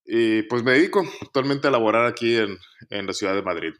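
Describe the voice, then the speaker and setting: A man, a podcast guest telling his life story in a relaxed, casual way. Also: mid-range voice, a little fast. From Mexico.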